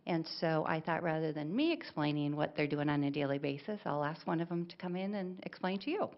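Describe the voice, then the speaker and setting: A woman's voice: low voice, fairly quick, highs cut off. A woman school administrator giving a plainspoken presentation at a board meeting, stopping now and then to correct herself.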